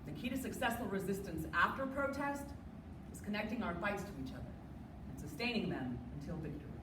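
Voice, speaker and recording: A woman's voice: mid-range voice, expressive, fairly quick. A young adult woman delivering a rehearsed, dramatic readers theater speech as a student performer. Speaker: readers theater performer on a college forensics team. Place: indoor room, live stage performance. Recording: echoey room from far off, noisy.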